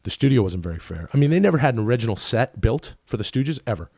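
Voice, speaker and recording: A man guesting on a podcast, talking casually in a rambling way full of fillers, his voice a bit low and rough today. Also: very animated, fast talker, darkish tone, dry recording, studio quality. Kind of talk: casual conversation.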